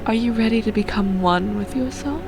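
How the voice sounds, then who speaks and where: A woman's voice: a little high, normal speed, creaky. Woman playing a serene mystical guru. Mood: serene, earnest. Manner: earnest, preachy. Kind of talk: amateur game character voicing. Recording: music under the voice.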